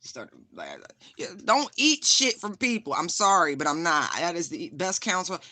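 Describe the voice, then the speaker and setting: Bright-voiced, profane tarot reader. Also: mid-range voice, big pitch swings, normal speed, dry recording. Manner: frank, profane, laughs often; repeats phrases for emphasis. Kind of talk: casual spiritual reading, chatty asides.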